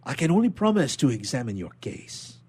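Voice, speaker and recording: A man's voice: mid-range voice, big pitch swings, brisk, darkish tone, husky. A man voicing an authoritative prison inspector, formal and questioning, in a dramatic audiobook reading. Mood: official, measured. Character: measured, authoritative prison inspector, aloof yet somewhat sympathetic man.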